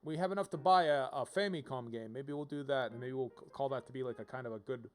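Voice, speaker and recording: A man's voice: medium pitch, fast. A man giving casual, rambling live commentary as he streams a video game, often repeating phrases as he riffs. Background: game music.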